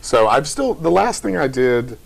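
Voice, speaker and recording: A young man from Kentucky with a very deep voice, chatting casually in a TV interview as a theater director. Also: measured pace, vocal fry, rough recording. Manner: relaxed, chatty, light laughs.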